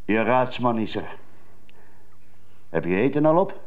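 A man's voice: mid-range voice, big pitch swings, a little slow, narrow, tinny audio, a little hiss or hum. A man acting a terse, businesslike prison guard in a radio drama, speaking curtly.

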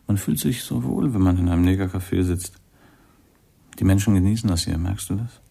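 Ein Schauspieler spielt in einem Hörspiel einen lässigen, vergesslichen jungen Jazzkornettisten, mit rauer, umgangssprachlicher Sprechweise. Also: male voice, sits low, a little fast, gravelly, mellow, breathy edge, heavily acted. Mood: dreamy, contented. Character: Bix, easygoing, forgetful young jazz cornetist. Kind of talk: German radio drama acting.

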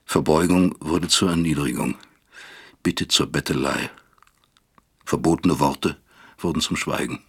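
An actor playing a remorseful, self-accusing father who narrates a radio drama in a gravelly, husky voice, reflective and bitter. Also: extremely low pitch, measured pace, pauses often, fairly bright tone, exaggerated voice.

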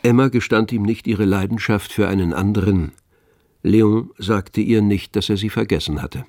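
Man as low-voiced, wry literary narrator. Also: normal speed, darkish tone, dry recording, natural voice. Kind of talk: scripted radio drama narration.

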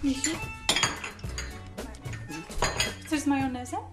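Woman acting a high-voiced, clingy, coaxing girlfriend. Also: fairly even intonation, fast talker, music in the background, lightly acted. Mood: doting. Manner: cooing, pleading, pet-name wheedling. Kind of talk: comic podcast radio play.

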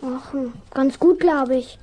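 Small evasive boy, children's radio drama: extremely high voice, hesitant, repeating himself. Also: slow, warm tone. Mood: nervous, evasive. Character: shy, evasive little village boy.

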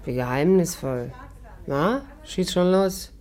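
A woman's voice: fairly low pitch, takes it slowly, darkish tone. An actress playing a worldly, mocking gangster's girl turned barmaid in a radio play. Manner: mocking, sassy, self-assured. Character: brassy, worldly female barmaid, former gangster's girlfriend. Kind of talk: 1950s German radio play acting.

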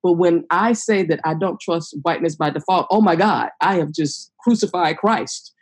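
A woman's voice: fairly low pitch, moderate pace, breathy edge. A woman hosting a podcast, talking candidly and emphatically, driving her points home by repeating them. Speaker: podcast host. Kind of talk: candid conversational podcast talk.

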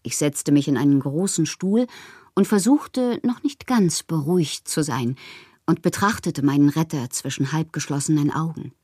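A woman playing a moody, fanciful bohemian poet in a radio drama, reading her confessional letters aloud with expressive, theatrical, poetic phrasing. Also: low voice, normal speed, husky, no echo, studio quality. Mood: dreamy, intimate.

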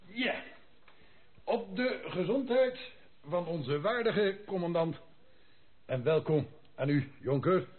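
A jovial old soldier, banters with cheeky bluster and a touch of French. His voice sits fairly high for a man. The recording is thin and phone-like. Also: animated, slow pace, pauses often. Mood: jovial toasting. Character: jovial, cheeky old army captain and household retainer. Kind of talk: Dutch radio play acting. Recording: tinny phone-like old radio play recording.

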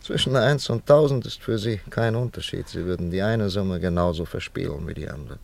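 An actor playing a stern, reserved gentleman friend in a German radio drama, formal and admonishing in manner. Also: sits low, fairly quick, creaky. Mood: firm, resigned.

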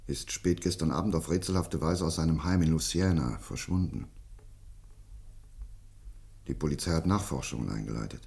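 Learned doctor investigating a mystery, low voice, polite, attentive, questioning, radio drama. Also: male voice, vocal fry, a little mellow, heavily acted. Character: inquisitive, courteous male scientific investigator with a doctorate. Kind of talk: German radio drama acting.

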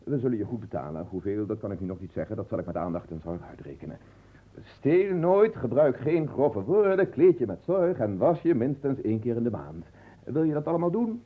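A man acting in a radio drama as a pompous, fussy middle-aged marquis with a condescending, deliberate manner. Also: medium pitch, very animated, phone-quality sound.

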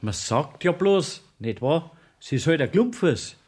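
A nosy court clerk in a Bavarian dialect radio play. The voice sits high and moves in lively leaps, drawing out questions with sly, needling mock concern. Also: male voice, breathy edge. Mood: sly, teasing. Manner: probing, insinuating, drawn-out.

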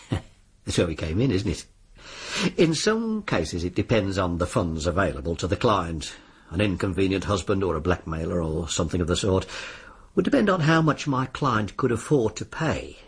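An actor playing a suave, sly disbarred lawyer in a radio drama, with a British accent and an insinuating, politely formal way of talking. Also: male voice, deep voice, very animated, normal speed, slightly rough, full character voice. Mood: businesslike, sly. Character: suave, sly disbarred lawyer arranging sinister bets on deaths.

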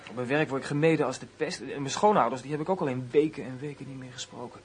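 An actor playing a distraught, doubting grown son in a radio drama, halting and agitated. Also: male voice, medium pitch, lightly acted. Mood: dejected, wounded. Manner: agitated, halting, hushed then bursting out. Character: distraught, doubting adult son of an accused man. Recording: noisy radio play recording.

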